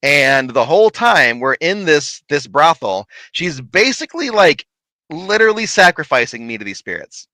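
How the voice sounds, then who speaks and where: A man telling a lively, laughing story from his paranormal investigations in casual chat. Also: a little high, moderate pace, dry recording. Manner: animated, laughing, big voice.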